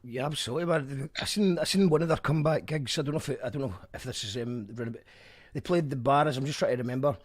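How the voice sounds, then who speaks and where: Scottish male radio host, brisk delivery, chatty and casual. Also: medium pitch. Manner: friendly, chatty, local slang.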